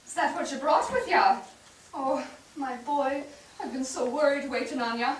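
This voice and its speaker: A woman's voice: high voice, moderate pace, close to an everyday voice. A woman playing a devout, fussing mother, tender and full of questions, in a staged play reading. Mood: tender relief. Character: devout, relieved, fussing mother of immigrant boy. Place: theatre stage. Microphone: distant microphone. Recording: very echoey, distant, noisy stage pickup.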